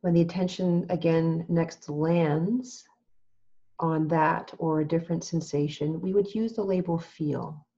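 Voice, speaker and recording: Female meditation guide, lowish soft-edged voice, very unhurried and calm, slightly dull lo-fi recording. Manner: calm, gentle, measured with long pauses. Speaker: meditation teacher.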